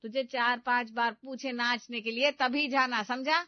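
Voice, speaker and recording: A woman playing the groom's middle-aged mother in an animated comedy story, exasperated, nagging and full of scheming instructions. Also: fairly high pitch, measured pace, dry recording, lightly acted. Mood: insistent. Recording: dull, lo-fi studio voice-over.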